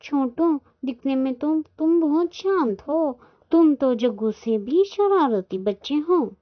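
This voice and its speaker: A woman voicing an exasperated, dramatic mother crow in a children's cartoon, complaining loudly and emphatically. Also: high-pitched, animated, unhurried. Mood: upset, scolding. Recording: dull lo-fi sound.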